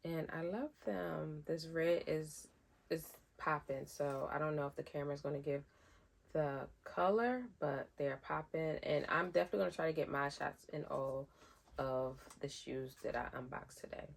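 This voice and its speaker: A woman's voice: normal speed, creaky. A woman vlogger, chatting casually through a sneaker unboxing, a little nasal from a head cold. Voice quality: deep voice. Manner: chatty, rambling with fillers.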